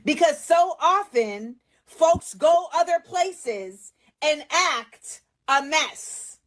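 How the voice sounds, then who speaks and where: A woman with a high voice commenting in a measured, reflective way. Her remarks have a wry undertone. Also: animated.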